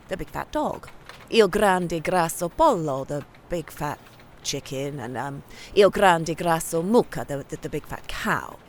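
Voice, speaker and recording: Biographer interviewee actress, rather low, hesitant recollection, faint background noise. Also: female voice. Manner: halting, hesitant. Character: female biographer recalling events in interview.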